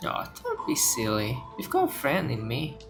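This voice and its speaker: A man's voice: mid-range voice, wildly swooping pitch, moderate pace, vocal fry, music in the background. A man playing a kind, understated young traveler in a video game, speaking in brief, casual replies. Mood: warm, easy. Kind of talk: English video game voice acting.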